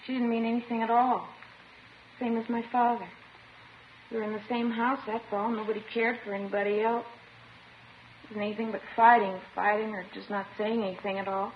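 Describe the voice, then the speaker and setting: A woman's voice: on the high side, flat delivery, brisk, lightly acted. A woman playing a sullen, defensive schoolgirl in a 1950s radio crime drama, petulant and emotionally detached. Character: sullen, defensive, childlike schoolgirl confessing to police. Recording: muffled, noisy old 1950s radio recording.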